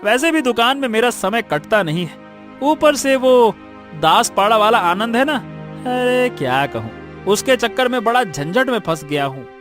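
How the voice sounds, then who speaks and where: A man's voice: very high-pitched, lively intonation, fairly bright tone, faint music behind. A man playing a greedy, sarcastic, grumbling village shopkeeper in a cartoon. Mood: irritated complaining. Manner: sarcastic, complaining, drawn-out teasing.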